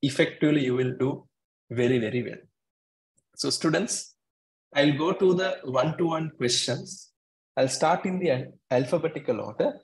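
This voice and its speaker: A man's voice: fairly high pitch, moderate pace, poor audio. A man tutoring students for an exam in an online class, earnest and repeating words for emphasis. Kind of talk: online exam coaching talk.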